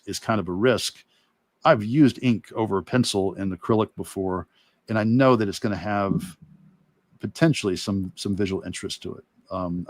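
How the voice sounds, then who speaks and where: A man giving a casual, reflective demo talk as an art instructor while drawing, with halting, rambling explanations. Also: lowish voice, dry recording, clean studio sound. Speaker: illustrator and course instructor demonstrating.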